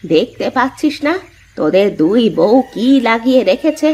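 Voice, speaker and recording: A woman's voice: a little high, sing-song, normal speed, darkish tone. A woman voicing a weary elderly village mother-in-law in a cartoon, plaintive and reproachful. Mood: scolding. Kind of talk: Bengali cartoon dialogue acting.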